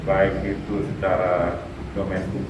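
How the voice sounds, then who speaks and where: Man, calm and explanatory. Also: low-pitched, a little slow, muffled recording, very noisy. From Madura, Indonesia. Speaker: interview guest, community figure. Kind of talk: conversational interview. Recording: echoey room heard from a distance, loud music behind.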